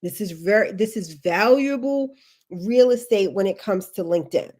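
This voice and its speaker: A woman's voice: mid-range voice, expressive, moderate pace, a little mellow. A woman career coach on a livestream, sniffly from allergies, giving advice in an emphatic way and repeating her key points. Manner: emphatic, repeats key instructions, chatty asides.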